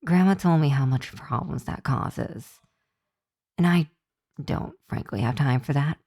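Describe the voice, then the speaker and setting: Woman doing a teasing vampire college girl, asmr roleplay, mellow slow voice, very dry close sound, playful. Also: rumbling low, no echo, heavily acted. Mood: teasing, argumentative. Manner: playful, teasing, drawn-out delivery with breathy asides.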